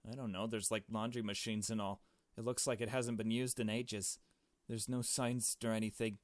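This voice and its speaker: A man reads an anxious young captive woman from a visual novel. She is hesitant and unsure of herself, trusting her friend, in a lowish voice with a dry sound. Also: flat delivery, moderate pace, no room sound, close to an everyday voice. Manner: uncertain, hesitant.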